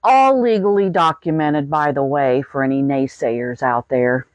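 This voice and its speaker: A woman's voice: sits low, unhurried, no room sound, lo-fi sound. An American woman in her sixties telling a personal story in a folksy, plain-spoken way. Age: 60s. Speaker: vlogger.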